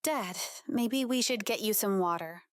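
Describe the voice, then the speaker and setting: A woman's voice: mid-range voice, measured pace, no echo, close to an everyday voice. A woman acting as a determined, wry hockey player daughter with some edge, in an audiobook drama. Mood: earnest, determined.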